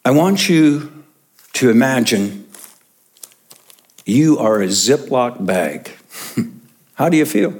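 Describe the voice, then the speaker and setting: A man's voice: medium pitch, animated, slow pace, long pauses, a little mellow. A male pastor preaching a sermon in church, stressing and repeating his main points for the congregation.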